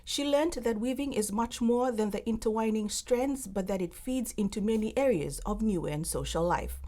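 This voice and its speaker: A woman reporter reading a scripted news voice-over with steady, careful narration. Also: a little high, normal speed.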